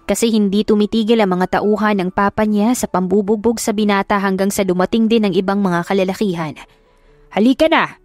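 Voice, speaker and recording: A woman's voice: medium pitch, fairly even intonation, brisk, hardly any pauses, darkish tone, dry recording, faint music behind. A Filipino woman reading a love story as a dramatic audiobook narrator.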